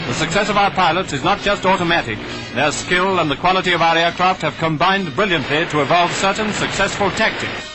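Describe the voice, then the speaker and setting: A man's voice: on the high side, rough recording. A man with a British RP accent delivering clipped, declamatory wartime newsreel commentary on an old 1940s soundtrack. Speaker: newsreel narrator.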